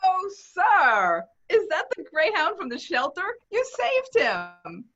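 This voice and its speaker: A woman's voice: very high voice, wildly swooping pitch, slow, a bit bright, heavily acted. A woman voicing an innocent, eager little boy full of wonder in an amateur table read. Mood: amazed, delighted. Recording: dull video-call audio.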